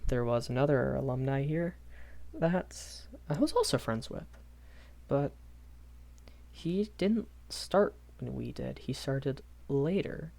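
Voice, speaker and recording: A man's voice: medium pitch, big pitch swings, slow pace, creaky, darkish tone, heavily acted. A young man playing a shy, kindly magic academy professor in a rambling audio roleplay, stammering and hesitant. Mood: reminiscing, hesitant. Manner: hesitant, stammering, nervous chuckles, apologetic.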